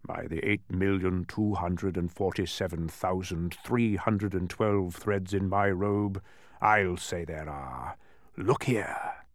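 Booming, boastful mathematician wizard. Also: male voice, very animated, no room sound, clean studio sound. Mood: hushed, conspiratorial. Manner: bellowing, grand, slow emphatic proclamations.

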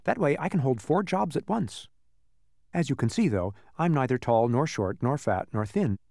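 A man's voice: medium pitch, animated, moderate pace, gravelly, darkish tone, no room sound, studio quality, pitched above the performer's own voice. A man voicing a cheerful, proud, absent-minded ordinary fellow in a storybook, chirpy and emphatic. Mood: confiding. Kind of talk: audiobook character voice.

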